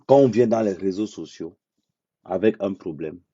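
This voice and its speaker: A man with a low, very dark and husky voice talks bluntly and at a slow, deliberate pace, repeating his points to drive them home. The sound is dry and dull, like a lo-fi phone or webcam mic. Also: no echo. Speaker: social media commentator. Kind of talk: unscripted live monologue.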